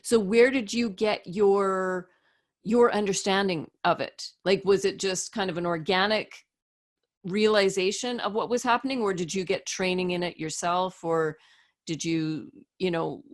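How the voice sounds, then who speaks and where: A woman's voice: medium pitch, fairly even intonation, unhurried. A woman hosting a podcast interview, speaking thoughtfully and hesitantly, restarting her phrases. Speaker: podcast host. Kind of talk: conversational interview.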